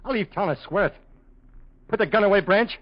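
An actor playing a frightened, pleading witness in a 1940s radio drama, urgent and frantic. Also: male voice, high voice, fairly quick, natural voice. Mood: terrified pleading. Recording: muffled, noisy old radio recording.

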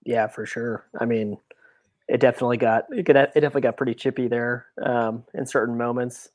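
A man's voice: mid-range voice, fairly even intonation, brisk, darkish tone, no room sound. A man co-hosting a sports podcast, giving casual analysis in a conversational way. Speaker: podcast co-host.

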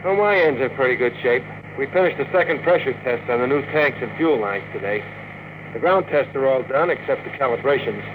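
A man's voice: mid-range voice, animated, fairly quick, background noise, lightly acted. Man playing plainspoken engineer, tinny old radio. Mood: matter-of-fact. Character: wisecracking, down-to-earth rocket engineer. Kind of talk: classic radio drama acting.

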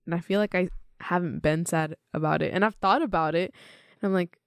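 A woman's voice: fairly low pitch, a little fast, clear, resonant tone, no echo. A woman of twenty, co-hosting a podcast, chatting casually in a giggly, upbeat way. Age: 20s. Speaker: podcast co-host.

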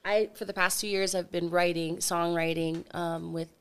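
A woman's voice: fairly low pitch, little pitch movement, moderate pace, smooth. A woman from Hawaii, a singer-songwriter guest in a casual interview, telling her story with fillers and restarts.

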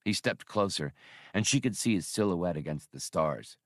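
A man narrates an adventure audiobook in a low voice that moves widely up and down. He reads deliberately and expressively, and the sound is dry and close. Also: lively intonation, dry recording. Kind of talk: scripted narration of a science fiction adventure novel.

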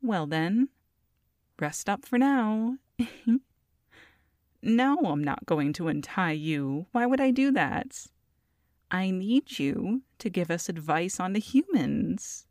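A woman's voice: fairly low pitch, very animated, takes it slowly, darkish tone, dry recording, clean studio sound. A woman voicing a feisty, scheming goblin mother in an audio roleplay, sassy and haughty as she talks to a captive. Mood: smug, playful. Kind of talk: ASMR audio roleplay monologue.

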